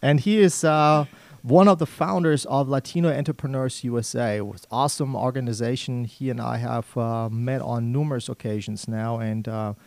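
A man's voice: medium pitch, fairly even intonation, normal speed, vocal fry, a little mellow, no echo. Upbeat German-accented radio host man. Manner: upbeat, chatty, laughs often. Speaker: radio talk show host. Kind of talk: live business radio talk. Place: radio studio.

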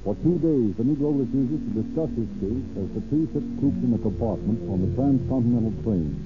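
A man's voice: medium pitch, expressive, fairly quick. A man working as narrator and announcer, reading scripted copy in 1930s radio style. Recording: tinny, narrowband old radio recording with hum.

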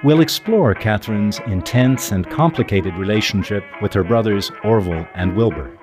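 A man hosting a podcast, reading scripted narration in a measured, formal way. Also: fairly low pitch, music in the background. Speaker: podcast host and author.

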